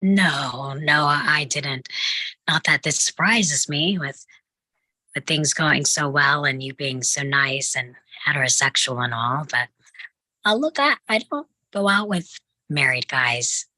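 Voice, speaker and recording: Woman playing bright, low-voiced young woman. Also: expressive, measured pace, dry recording, heavily acted, low-quality recording. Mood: wary, awkward. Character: wary but kind young woman on a date. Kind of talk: sitcom script table read.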